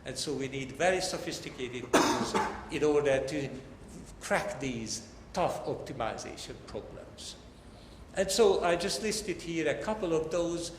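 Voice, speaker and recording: A man with a Hungarian accent delivers an academic lecture in a somewhat high voice. His delivery is formal and explanatory, with deliberate phrasing aimed at his listeners. The sound is strongly echoey, as in a large hall, with faint noise behind him. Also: expressive.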